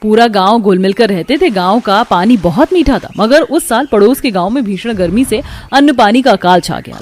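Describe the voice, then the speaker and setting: A woman's voice: medium pitch, darkish tone, slight background noise. A woman reading scripted narration for a story.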